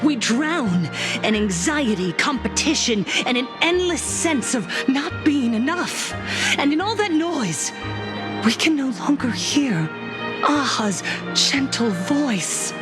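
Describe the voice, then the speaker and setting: A woman's voice: sits high, animated, slow. An actress playing a fervent woman cult preacher in a game cutscene, impassioned and sermon-like, sinking to whispers, with music behind her. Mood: zealous. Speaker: cult leader preaching to a crowd.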